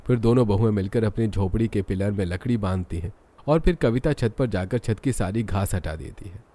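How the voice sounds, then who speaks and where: A man's voice: lowish voice, fairly quick, warm tone, slight background noise. A man reading scripted narration for a cartoon story.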